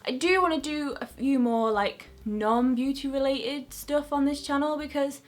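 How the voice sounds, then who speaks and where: Chatty Northern English young woman. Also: sits high. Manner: chatty, rambling, self-mocking. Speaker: beauty vlogger. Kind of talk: casual chat to camera.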